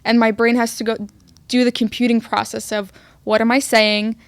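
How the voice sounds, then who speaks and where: Young woman, high voice, dry close mic. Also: no echo. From Egypt. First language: Arabic. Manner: relaxed, with long thinking pauses and fillers. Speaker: podcast co-host. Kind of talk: casual chat.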